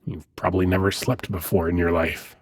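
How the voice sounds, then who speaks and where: A man voicing a teasing, seductive, gently menacing demon prince in an intimate ASMR roleplay, husky and breathy, often close to a whisper, with silky, unhurried delivery. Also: sits low, little pitch movement. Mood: playful, coaxing.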